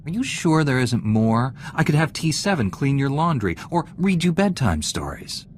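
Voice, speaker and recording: A man's voice: medium pitch, big pitch swings, moderate pace, slight background noise, close to an everyday voice. An actor voicing a principled male Jedi knight in a video game, dry and level in tone. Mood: steady. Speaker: player character.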